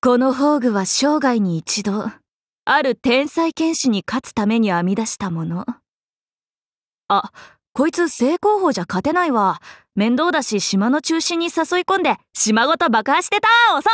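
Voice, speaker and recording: A woman voicing a playful, cheerful young swordswoman in an anime mobile game, breezy, expressive and teasing like a big sister. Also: high voice, normal speed, dry recording, clean studio sound. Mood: sheepish, wistful. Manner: breezy, expressive, teasing, casual with sudden formal turns.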